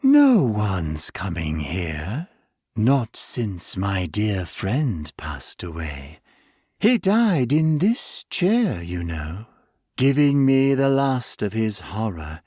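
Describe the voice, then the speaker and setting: A man puts on an ancient, fear-eating creature for a horror audiobook. Its voice creeps along at a crawl, leaping up and falling away, crooning and then sinking into hushed whispers. The recording is close and dry. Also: lowish voice, slightly rough, no echo, exaggerated voice. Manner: slow, crooning, drifting into whispers. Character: ancient creature feeding on fear, gloating and hungry.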